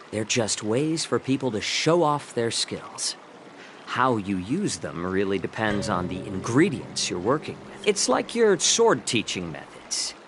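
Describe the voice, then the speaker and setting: A man playing a suave, genteel and slightly sly healer and cook in a video game, speaking politely and eloquently in measured, lecturing phrases. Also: on the low side, a bit bright, a little hiss or hum. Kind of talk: scripted video game voice acting.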